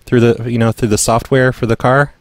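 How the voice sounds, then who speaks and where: A man's voice: mid-range voice, little pitch movement, fairly quick, no room sound. A man hosting a prank call show, talking in a casual, matter-of-fact way. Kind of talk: casual podcast talk and prank calling.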